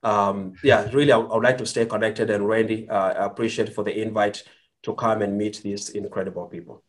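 A man's voice: lowish voice, fairly even intonation, brisk. A man from Kenya with a Kenyan accent, a guest entrepreneur telling his story earnestly, with many fillers and restarts. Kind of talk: conversational storytelling.

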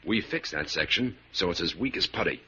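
An actor playing a gruff, bossy bank robber ringleader in a slangy 1940s radio crime drama. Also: male voice, low voice, expressive, fairly quick, pushed and bright, exaggerated voice. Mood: brisk, scheming. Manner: gruff, commanding, slangy tough-guy talk. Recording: scratchy, dull 1940s radio recording.

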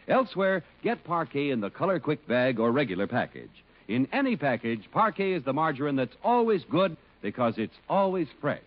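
A man's voice: fairly high pitch, big pitch swings, a little fast. An American man announcing from a script on 1950s radio in a polished, emphatic style. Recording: muffled old radio recording.

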